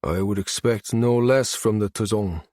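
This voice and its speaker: A man voicing a guarded, dutiful state security minister in a science fiction audiobook, calm and measured. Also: fairly low pitch, no room sound, clean studio sound, lightly acted. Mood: calm, deferential.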